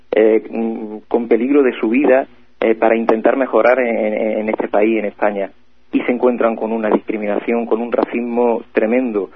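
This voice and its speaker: A man with a Spanish accent from Spain, a guest speaking earnestly and hesitantly, often repeating words. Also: fairly low pitch. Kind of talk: earnest commentary. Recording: husky voice over phone line.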